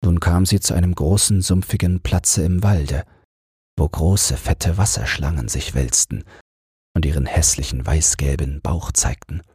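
A male audiobook narrator tells a bedtime fairy tale calmly. His voice is low and heavily breathy, captured close in a dry room. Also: a little slow, no echo.

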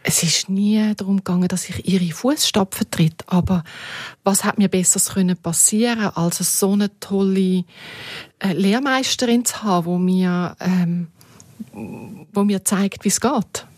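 A woman's voice: medium pitch, a little slow, darkish tone, dry recording. Thoughtful woman in dry sound. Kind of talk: reflective conversational recollection.

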